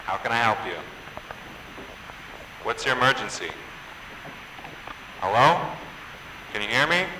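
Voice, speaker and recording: A man's voice: mid-range voice, expressive, unhurried, natural voice. A man playing an emergency dispatcher in a game, answering a call in a procedural way. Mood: businesslike. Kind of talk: procedural phone call acting. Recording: echoey, reverberant, noisy line.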